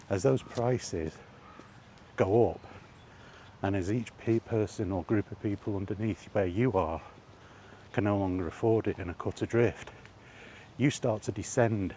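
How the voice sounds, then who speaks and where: A man's voice: on the low side, a little slow, pauses often, mellow, slight background noise. Blunt man ranting outdoors. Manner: blunt, emphatic, lecturing. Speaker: gaming vlogger. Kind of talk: opinion rant while walking. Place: outdoors by allotments.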